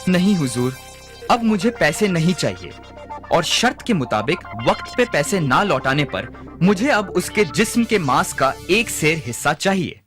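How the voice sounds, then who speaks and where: A man playing a greedy, stubborn, scheming moneylender in an animated moral story, insistent yet obsequious. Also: on the high side, a little slow. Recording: music bed behind the voice.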